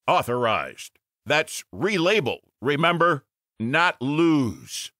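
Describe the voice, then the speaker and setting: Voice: mid-range voice, very animated, slow pace, pushed and bright, pitched above the performer's own voice, heavily acted. A performer voicing a terse, suspicious executive with clipped authority.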